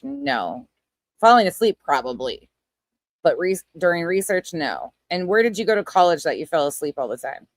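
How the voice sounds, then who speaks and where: A woman's voice: low voice, dry recording, studio quality. A woman giving casual reaction commentary in a blunt, sarcastic, combative way with plenty of swearing. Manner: scornful, combative. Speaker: commentator reacting to another person's clip.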